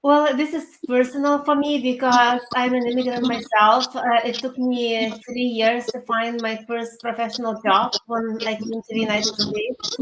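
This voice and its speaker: A woman from Lithuania with a Lithuanian accent, answering interview questions in an earnest, halting way as a guest. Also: a little high, level tone, a bit bright. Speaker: interview guest, career coach. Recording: echoey room with background noise.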